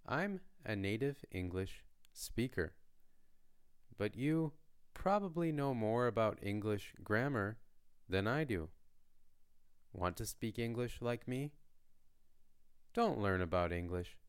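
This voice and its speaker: A man from Canada hosting a beginner language podcast, speaking in a slow, lightly joking teaching voice. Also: fairly low pitch, expressive, clean tone. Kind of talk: slow, clear lesson narration for learners.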